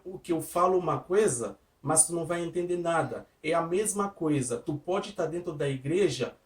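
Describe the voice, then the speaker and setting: A man whose first language is not Portuguese gives an informal devotional sermon. He uses simple, repetitive phrasing, often restarts his sentences and speaks straight to the listener. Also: fairly high pitch. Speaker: lay preacher giving a devotional. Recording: echoey room from a distance.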